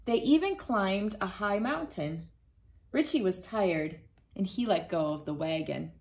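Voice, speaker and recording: Slow, gentle woman reading to kids. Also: medium pitch, lively intonation. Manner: gentle, playful, laughing often. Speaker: children's book reader. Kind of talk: read-aloud storytime for kids. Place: bright room indoors.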